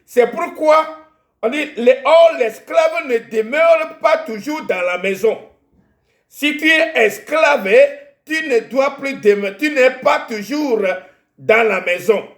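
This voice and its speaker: A man from Togo whose first language is Ewe delivers a sermon in French with a Togolese accent. His voice sits very high and he speaks unhurriedly, halting and repeating words as he goes. The recording is echoey, as if made in a reverberant room. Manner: slow and halting, repeats words while searching for them. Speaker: preacher. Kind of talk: sermon with Bible reading.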